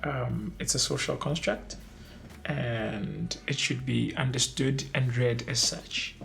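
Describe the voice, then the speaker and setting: A man's voice: mid-range voice, fairly even intonation, creaky, a bit bright, a little hiss or hum, low-quality recording. Academic man, lecturing tone. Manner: lecturing, with frequent "um" pauses. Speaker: academic and PhD researcher. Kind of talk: documentary interview.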